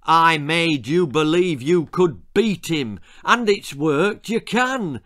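A man's voice: fairly high pitch, expressive, takes it slowly, a bit bright, voice pushed up. Man acting a bawling old running coach. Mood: firm, insistent. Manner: bawling, commanding, emphatic. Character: stern, urgent old male running coach.

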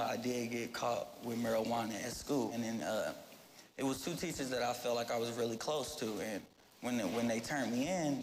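An American man in his twenties giving informal personal testimony on a panel, colloquial and repeating himself. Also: mid-range voice. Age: 20s. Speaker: youth panelist. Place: auditorium stage. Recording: echoey hall, faint noise.

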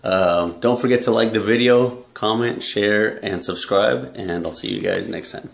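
A young man talking casually to the camera about his grocery haul, relaxed and halting, with many ums and uhs, in a small indoor room. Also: lowish voice, gravelly. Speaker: fitness vlogger. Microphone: camera close up at arm's length.

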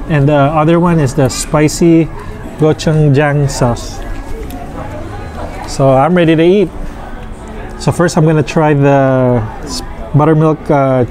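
A man in his thirties reviewing restaurant food in a casual, halting way, full of fillers, on an outdoor patio with a camera on the table. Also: a little high, slight background noise. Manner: slow, relaxed, halting with many fillers. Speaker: food vlogger. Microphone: camera on table across from him.

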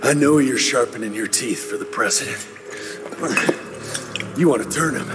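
A man acts a determined vampire-hunting cowboy with an American accent. He is terse and menacing in a mid-pitched voice, with long reverb and game music behind. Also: moderate pace, lightly acted. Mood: cold menace. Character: grim, determined gunslinger vampire hunter. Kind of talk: video game cutscene acting. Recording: long echo, music underneath.

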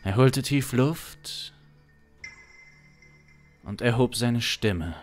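A man in his thirties narrating an improvised suspense story in a deliberate, dramatic way, close to a studio microphone. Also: medium pitch, animated, faint music behind. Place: small indoor streaming studio. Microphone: close studio microphone on boom arm.

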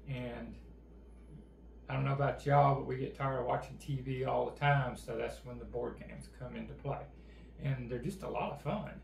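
A man's voice: medium pitch, vocal fry. A middle-aged man, co-hosting a casual channel chat with an easygoing, plainspoken manner. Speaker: co-host. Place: indoor room with game table and backdrop. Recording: echoey room, faint background noise.

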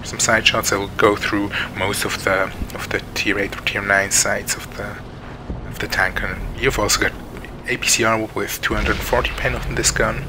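A man's voice: lowish voice, level tone, moderate pace, background noise. A man with a Swiss accent giving casual gameplay commentary, hesitant and full of ums and restarts. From Switzerland. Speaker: gaming channel host. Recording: game sounds over the voice. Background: tank game sounds.

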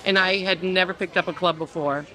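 Bright-voiced chatty older woman. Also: lowish voice, steady tone, brisk, a faint crowd, low-quality recording. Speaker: interviewee. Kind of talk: casual chat. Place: covered restaurant patio outdoors. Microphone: stand mic close.

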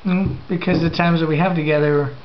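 A man's voice: fairly high pitch, fairly even intonation, fairly quick, a little hiss or hum. A man in his thirties answering interview questions at home in short replies. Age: 30s. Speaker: soldier parent. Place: home room, indoors. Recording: lo-fi home camera audio, slightly distant.

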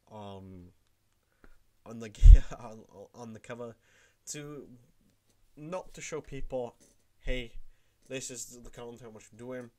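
A young man with a cold, rambling through a casual tutorial vlog from his room at home. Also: lowish voice. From Wales. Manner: rambling, repeats himself. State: has a cold. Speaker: YouTuber.

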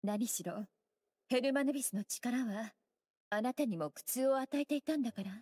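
A woman voicing a graceful, teasing young scholar mage in an anime game, with elegant, playful, unhurried phrasing. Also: a little high, dark tone, close to an everyday voice. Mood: gentle, sincere. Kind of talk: Japanese anime game voice acting. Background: music.

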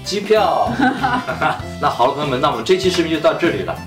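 A young man with a lively, rising and falling voice talks casually from a sofa. He is a native Mandarin speaker from China, explaining videos to his girlfriend. The sound is roomy and a bit distant, with music playing beneath. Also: fairly high pitch, very slow. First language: Mandarin Chinese. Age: young adult. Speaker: vlogger showing videos to girlfriend. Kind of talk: casual chat. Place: living room, on a sofa.

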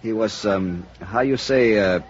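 A man acting an earnest Mexican police captain who speaks halting, accented English mixed with Spanish. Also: fairly low pitch, natural voice. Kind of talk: 1950s radio acting. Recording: muffled old radio recording.

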